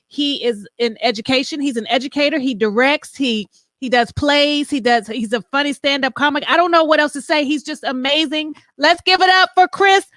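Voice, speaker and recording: A woman's voice: high voice, normal speed, hardly any pauses, a bit bright, no room sound. A woman in her forties hosting a casual comedy show over a video call, chatty and teasing as she hypes her guests. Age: 40s. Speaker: host.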